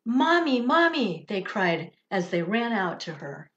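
A woman reading a children's story aloud in an expressive, deliberate way, on a dull recording. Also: fairly low pitch. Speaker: religious education director reading a story. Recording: dull, lo-fi sound.

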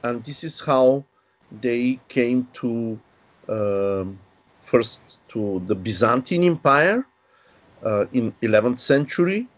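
A man in his sixties from Bulgaria, a linguistics professor explaining history in measured, unhurried interview answers over a video call from a home study. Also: mid-range voice, lively intonation. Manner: measured, unhurried, with pauses and fillers.

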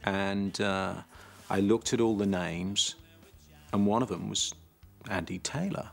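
Gravelly low-voiced man recalling the past. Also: faint music behind. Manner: measured storytelling. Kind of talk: documentary interview. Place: dark studio set.

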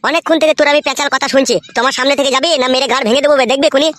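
Man doing a rapid-fire, high-pitched hot-headed cartoon guy. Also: steady tone, noisy background. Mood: angry. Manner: foul-mouthed, belligerent. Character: stubborn, lovesick, hot-headed married young man. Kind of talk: crude comedy cartoon dialogue.

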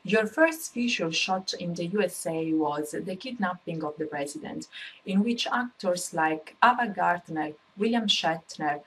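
A woman's voice: fairly low pitch, animated, unhurried, fairly bright tone, slightly rough, poor audio. A young woman presenting a scripted interview as the host. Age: young adult. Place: indoors against a plain wall. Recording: noticeable background noise and ambience.

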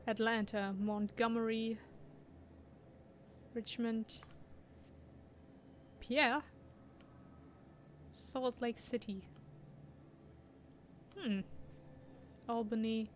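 A woman's voice: a little high, fairly even intonation, very slow, pauses often, a little hiss or hum. Chatty German woman streaming a game. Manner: chatty, laughs often, reads chat aloud. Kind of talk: casual gameplay commentary.

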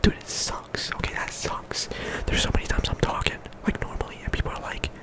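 A soft-spoken ASMR creator, sick at the moment, whispering a casual, earnest ramble full of slang and fillers. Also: fairly quick. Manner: earnest encouragement.

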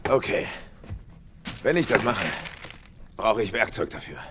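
A man's voice: lowish voice, big pitch swings, a little slow, background noise. A man playing an irritable, sarcastic middle-aged loner in a video game dub, grumbling and blustering. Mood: grudging.

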